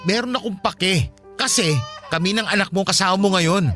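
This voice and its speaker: A man's voice: high-pitched, moderate pace, music in the background, close to an everyday voice. A man with a Filipino accent playing an aggrieved, pleading young father in a radio drama, insistent and emotional. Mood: tearful pleading.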